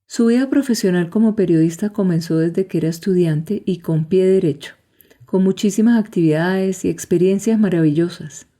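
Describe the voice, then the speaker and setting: A woman's voice: on the low side, moderate pace. A woman reads scripted documentary narration as a podcast host. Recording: soft guitar music behind.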